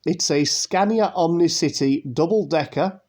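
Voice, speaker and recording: A man's voice: a little high, moderate pace, a little mellow. Nasal man with a cold. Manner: chatty, rambling, many fillers and restarts. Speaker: YouTube unboxing host. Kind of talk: casual unboxing commentary.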